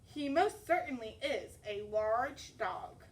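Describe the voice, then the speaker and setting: A woman's voice: a little high, lively intonation, slow pace, far from the mic. A woman voicing a small, very old librarian in a storybook, easily frightened, trembling and proper. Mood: hesitant.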